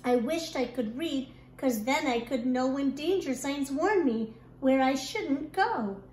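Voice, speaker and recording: A woman reads a rhyming children's book aloud like a kindergarten teacher, expressive and deliberate. Her pace is slow and her voice is high. The recording has clear noise behind her. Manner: expressive, deliberate storytelling delivery. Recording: echoey room with clear background noise.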